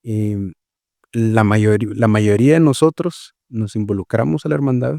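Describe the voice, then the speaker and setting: A man's voice: on the low side, steady tone, vocal fry, dark tone, no room sound, studio quality. A man from Guatemala, a missionary guest explaining his work in a reflective, conversational way, often checking in with "¿verdad?". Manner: reflective, explains slowly with many fillers and "¿verdad?". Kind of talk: conversational interview.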